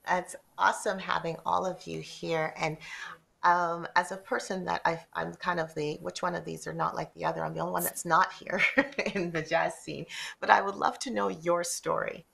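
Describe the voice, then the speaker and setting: A woman's voice: on the low side, gravelly, a bit bright. A middle-aged woman hosting a panel conversation in a casual style. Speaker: host.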